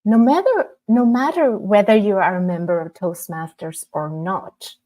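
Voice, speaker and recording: A woman hosting a podcast, reading a prepared introduction in a measured way and then asking questions. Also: medium pitch, warm tone. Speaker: podcast host. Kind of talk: scripted podcast introduction and interview.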